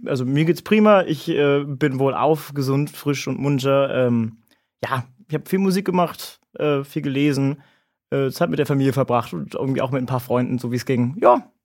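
A man chatting casually as a guest, speaking in a hesitant, halting way. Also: mid-range voice, darkish tone. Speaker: musician and guest. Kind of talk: casual chat.

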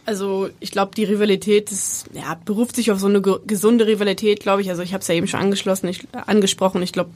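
A woman's voice: mid-range voice, little pitch movement, fast talker, hardly any pauses, darkish tone. A woman in her twenties from Bremen, a football player answering interview questions with many fillers and hesitations. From Bremen, Germany. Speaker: women's football player, guest.

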